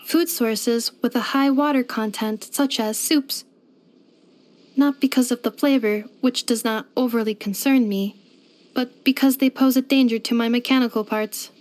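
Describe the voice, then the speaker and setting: A woman's voice: a little high, steady tone, normal speed, darkish tone, close to an everyday voice. A woman voicing a polite, devoted household robot companion in a video game, speaking in formal, precise, computer-like phrasing. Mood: methodical, polite. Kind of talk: scripted video game character voice lines.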